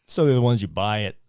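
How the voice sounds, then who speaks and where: A man's voice: on the low side, a little mellow, dry recording. A man co-hosting a podcast, asking skeptical, wry questions in casual chat. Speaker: podcast co-host.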